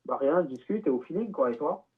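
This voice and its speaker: A man's voice: fairly high pitch, expressive, a little fast, husky. A man answering a prank phone call, guarded and laid-back, heard over a tinny phone line. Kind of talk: phone conversation.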